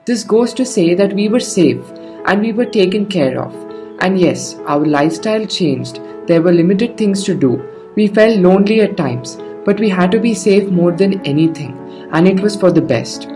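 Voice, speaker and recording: A woman's voice: medium pitch, expressive, moderate pace. A woman student giving a sincere, encouraging personal video message. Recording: guitar music behind.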